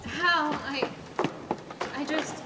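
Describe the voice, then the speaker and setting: A woman's voice: sits high, fairly even intonation, drawn-out words. A young woman acting in a stage play as a lovesick, earnest and determined daughter. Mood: anxious, startled. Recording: echoey hall with faint noise.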